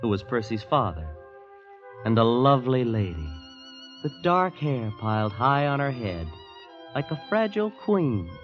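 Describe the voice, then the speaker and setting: Wide-eyed young visitor, American, earnest, marveling, lively intonation, dull old radio recording. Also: male voice, medium pitch, measured pace, soft background music. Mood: awed narration. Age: young adult. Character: wide-eyed, awestruck young visitor who narrates his tale. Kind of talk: 1950s American radio drama acting. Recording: muffled vintage radio recording.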